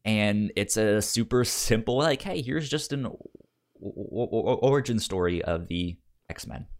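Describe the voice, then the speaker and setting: A man's voice: on the low side, lively intonation, measured pace. A man hosting a podcast in a casual, chatty style, often stammering and repeating words and syllables as he goes. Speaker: podcast host.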